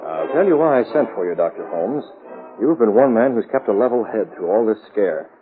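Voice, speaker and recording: An actor playing a level-headed newspaper publisher in a 1930s radio drama, clipped, probing and authoritative. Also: male voice, lowish voice, animated, brisk, music in the background, natural voice. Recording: phone-like old radio, faint hiss.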